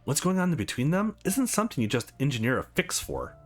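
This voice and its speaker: A man's voice: sing-song, a little fast, faint music behind. A man voicing a stern, protective businesswoman in a visual novel, blunt and wry in manner. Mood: angry. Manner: blunt, low and reproachful.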